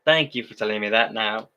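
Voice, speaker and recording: A young man chatting casually on a livestream, rambling with many halting restarts. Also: on the low side, bright, forceful tone, muffled recording.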